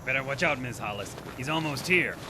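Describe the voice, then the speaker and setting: A man's voice: medium pitch, expressive, a little fast, background noise, natural voice. An actor playing a timid, deferential, anxious male sidekick at a mining outpost in a science fiction radio drama. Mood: anxious, alert.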